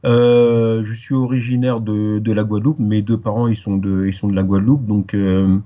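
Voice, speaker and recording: A French man talking through his story in an interview, slow to find his words and filling the gaps with pauses. His voice is dark and mellow, and the muffled, lo-fi recording dulls it further. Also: on the low side, little pitch movement. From France. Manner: hesitant, many pauses and fillers. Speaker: interview guest. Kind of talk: casual interview.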